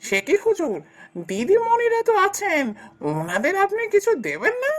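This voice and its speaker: A woman's voice: very high voice, huge pitch swings, normal speed, heavily acted. A woman voicing a devoted male servant in a cartoon fairy tale, fawning and eager toward his master. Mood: eager, surprised.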